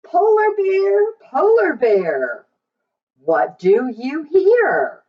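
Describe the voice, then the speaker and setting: Very slow, lively woman reading to children. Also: very high-pitched, dull audio. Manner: sing-song, drawn-out reading with questions to young listeners. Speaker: teacher reading picture books aloud. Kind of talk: read-aloud storytime for young children.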